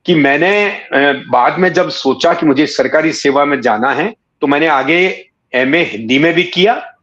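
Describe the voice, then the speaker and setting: Echoey, high-pitched man in his sixties. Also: a bit bright. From Rajasthan, India. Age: 60s. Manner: measured, hesitant, pausing mid-sentence, courteous. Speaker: interview guest, writer and former cricket commentator. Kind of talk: reflective conversational storytelling. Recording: echoey room, slightly dull sound.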